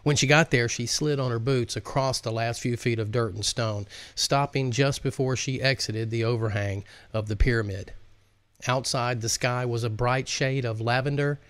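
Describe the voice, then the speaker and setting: A man in his fifties speaks close to a studio microphone with a dry, echo-free sound. His voice sits at an average male pitch, suited to informal live-stream hosting and audiobook narration. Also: steady tone, normal speed, fairly bright tone. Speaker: author and host reading his audiobook. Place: indoor studio, close up, virtual backdrop. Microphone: large microphone on a stand in front of him.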